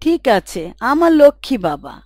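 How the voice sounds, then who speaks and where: A kind, doting cartoon mother, voiced by a woman. She talks softly and coaxingly in a high voice, recorded dry. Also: sing-song, normal speed, no room sound. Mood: caring. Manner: soft and coaxing.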